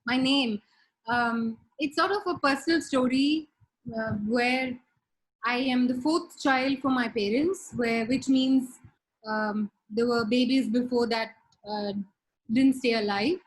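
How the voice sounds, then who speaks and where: A middle-aged Indian woman with an Indian English accent, a native Tamil speaker, answers interview questions in a high, bright voice. She is thoughtful and animated, often stopping with small hesitations before going on. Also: slow pace, rough recording. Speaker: guest. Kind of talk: casual interview on a video call.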